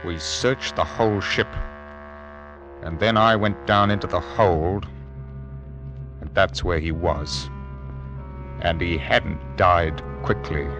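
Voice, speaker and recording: An actor playing a stern, anxious 1700s ship's captain in old-time radio drama, telling his tale gravely and dramatically. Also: sits low, fairly even intonation, slow pace, pauses often. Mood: grave, haunted. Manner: dramatic, grave first-person storytelling. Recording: old radio recording with music behind.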